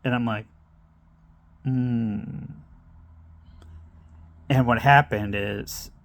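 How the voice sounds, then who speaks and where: A man's voice: mid-range voice, expressive, normal speed, gravelly. A middle-aged man telling a meandering personal story, interrupting himself and laughing at his own tangents. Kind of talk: rambling personal anecdote.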